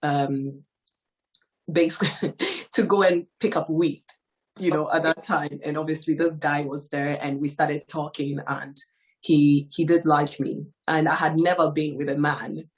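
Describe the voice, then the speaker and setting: Woman from ghana, low voice, soft-spoken, halting testimony, lots of fillers. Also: steady tone, poor audio. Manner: soft-spoken, hesitant, frequent "um" and "obviously". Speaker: guest giving testimony. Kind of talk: personal testimony in an interview.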